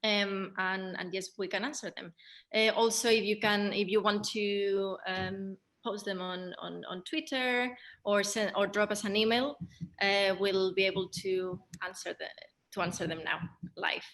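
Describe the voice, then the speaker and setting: A woman with as her moderates a theatre discussion at a middling pitch. She speaks hesitantly, circling back on phrases and pausing as she thinks. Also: fairly even intonation, fairly bright tone. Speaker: theatre director hosting a Q&A.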